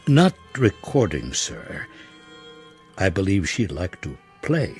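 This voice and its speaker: Casting: a cautious, courteous butler type for radio drama, very deep register, formal delivery, faint music behind. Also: male voice, sing-song, slow, a little mellow, heavily acted. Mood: deferential, careful. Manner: formal, hesitant, tactful. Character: deferential male household servant, polite and cautious.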